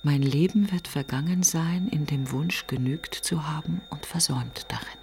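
An actress playing a grieving woman in a literary radio monologue, speaking in hushed, halting fragments. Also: low voice, creaky, slight background noise. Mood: bleak, brooding. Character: grieving woman brooding on her dead best friend.

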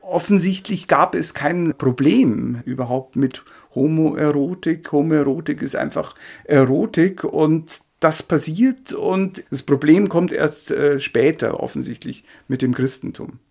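Slow-talking male expert with creaky voice. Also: a little high, a little mellow. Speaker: expert interviewee. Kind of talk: spontaneous explanatory interview talk.

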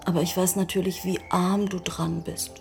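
An actress playing a middle-aged wife in a radio drama, self-possessed, dry and teasing. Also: female voice, fairly low pitch, level tone, moderate pace, vocal fry, over music. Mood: mocking. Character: cool, mocking middle-aged wife, a musician. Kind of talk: naturalistic radio drama dialogue.

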